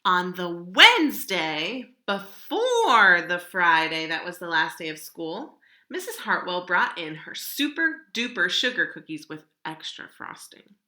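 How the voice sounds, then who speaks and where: A woman reading a children's picture book aloud in an expressive, dramatic way, drawing out key words. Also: fairly low pitch, measured pace, echoey room. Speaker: storybook reader.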